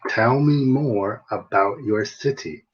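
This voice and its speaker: A man's voice: on the low side, slow, husky. A man tutoring English on a video call, patiently asking simple questions. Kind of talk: online English lesson conversation. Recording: dull lo-fi video call audio.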